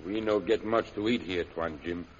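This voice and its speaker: An actor playing a loyal, humble companion who speaks deferentially in clipped broken English, in 1930s radio serial style. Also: male voice, deep voice, normal speed, slightly rough. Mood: subdued, plain. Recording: muffled, very noisy 1930s radio recording.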